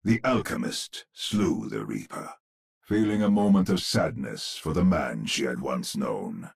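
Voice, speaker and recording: An actor playing a grave old storyteller, narrating a horror tale in a gravelly, husky voice with ominous, theatrical deliberation. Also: male voice, rumbling low, very animated, measured pace, exaggerated voice.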